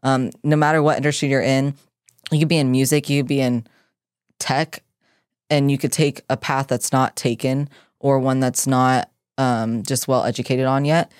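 Chill young guy on a podcast, slangy, laughs a lot, mid pitch, dry sound. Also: flat delivery, moderate pace, clear, resonant tone, dry recording. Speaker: podcast co-host. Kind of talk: casual podcast chat.

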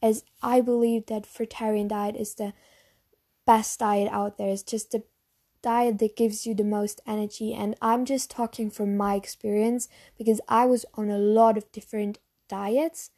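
A woman's voice: on the high side, mellow. Earnest young German woman vlogging. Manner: earnest and frank, with frequent fillers. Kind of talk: casual talk to camera answering questions.

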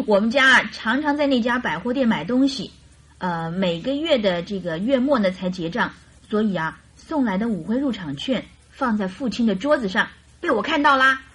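An actress playing a chatty, naive and carefree young bride in a radio drama, with girlish, animated complaining and coaxing. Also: on the high side, moderate pace, slightly rough. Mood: confiding, lively.

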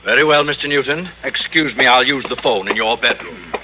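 A man's voice: mid-range voice, animated, a little fast, close to an everyday voice. A man playing a courteous, businesslike school board member in 1950s radio comedy. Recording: dull noisy old radio recording.

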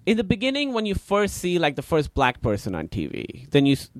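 A man's voice: fairly high pitch, wildly swooping pitch, a little fast, no room sound. A man in his thirties with a Pakistani accent, a comedian chatting as a podcast guest, rambling with many fillers and restarts. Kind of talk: casual interview chat.

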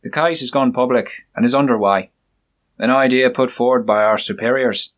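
A man's voice: medium pitch, normal speed, fairly bright tone, pitched above the performer's own voice, lightly acted. A man doing a curt, haughty police inspector. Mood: stern. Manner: curt, commanding, condescending. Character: stern, dismissive police inspector, middle-aged man.

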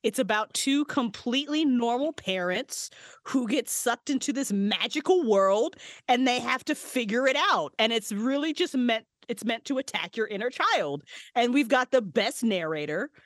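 Woman, podcast guest, slightly high voice, dry close sound, blunt, laughs often. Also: lively intonation, normal speed, dry recording. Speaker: podcast guest, writer and voice actress. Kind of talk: casual podcast chat.

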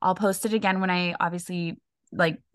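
A woman's voice: on the low side, fairly even intonation, normal speed, fairly bright tone, dry recording, studio quality. A young woman co-hosting a casual podcast chat, talking loosely with lots of fillers and laughs. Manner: chatty, lots of likes and laughs. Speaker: podcast co-host.

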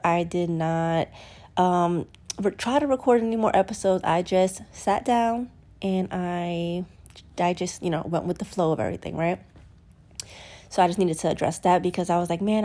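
A woman's voice: mid-range voice, mellow. Woman podcast host, congested, sniffly, chatty, candid, acts out quotes. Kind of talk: casual solo podcast talk.

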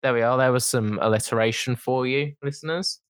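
A young man co-hosting a podcast, chatting casually and teasing his friend with quick jokes. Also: medium pitch, clear, resonant tone, no echo. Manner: joking, teasing. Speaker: podcast co-host. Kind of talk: casual chat.

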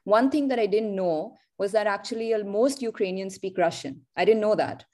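A woman's voice: medium pitch, moderate pace, darkish tone. A woman hosting an interview, framing long, reflective questions and hedging with "you know" and "sort of". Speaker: interview host.

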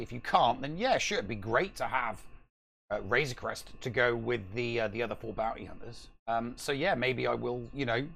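A man's voice: medium pitch, creaky, fairly bright tone. A man co-hosting a casual gaming podcast, talking in a rambling, emphatic way and repeating himself for stress. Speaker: podcast co-host.

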